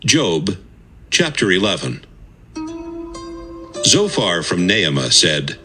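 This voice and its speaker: A man's voice: sits low, big pitch swings, slow pace, music in the background. A man narrating scripture in a formal, scripted style.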